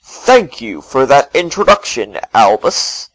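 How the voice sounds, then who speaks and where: A man's voice: mid-range voice, sing-song, slow pace, a bit bright, no echo, full character voice, poor audio. A man voicing a smug, greedy corporate businessman turned professor in an amateur parody reading. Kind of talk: amateur dramatic reading of parody fan fiction.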